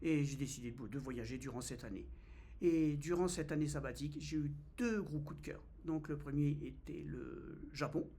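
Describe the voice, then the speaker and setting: A man's voice: a little high, moderate pace, dark tone, breathy edge. A man in his fifties from France, vlogging in a casual, hesitant way with many fillers and restarts. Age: 50s. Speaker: travel vlogger. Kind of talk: casual first-person vlog.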